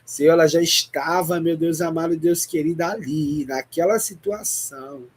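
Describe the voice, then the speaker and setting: A man's voice: fairly high pitch, moderate pace, breathy edge. A Brazilian man preaching in fervent, repetitive, pleading prayer, breaking into chanted tongues. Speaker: preacher leading live online prayer. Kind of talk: fervent Pentecostal prayer with glossolalia.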